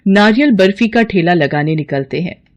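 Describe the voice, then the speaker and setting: A woman's voice: medium pitch, animated, moderate pace, muffled recording. A woman narrating a scripted moral story as a storyteller.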